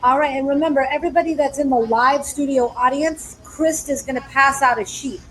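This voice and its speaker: A woman's voice: high-pitched, a little fast, a bit bright, poor audio. A woman hosting a live talk show, upbeat and chatty, speaking directly to her studio and online audience.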